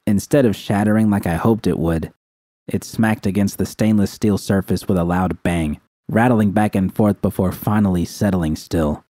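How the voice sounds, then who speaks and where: A man's voice: deep voice, normal speed, warm tone, no echo. A man reading scripted first-person dramatic fiction as an audiobook narrator.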